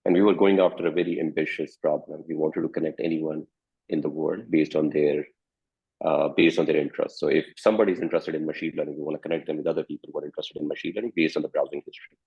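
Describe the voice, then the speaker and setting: Man in his thirties, founder from Pakistan, husky deep voice, thoughtful panel storyteller. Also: steady tone, quick pace. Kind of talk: panel discussion. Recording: phone-like lo-fi audio.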